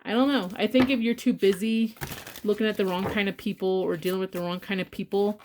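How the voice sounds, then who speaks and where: A woman's voice: medium pitch, fairly even intonation, brisk, a little mellow, slight background noise. A congested woman giving a casual tarot reading, talking bluntly with rambling asides and swearing freely. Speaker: tarot reader.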